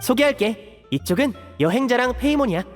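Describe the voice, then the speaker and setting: A young elder brother in a game, poised and caring. His voice is high and very lively in melody, set in long reverb over music. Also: a little fast. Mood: friendly. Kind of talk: Korean video game voice acting.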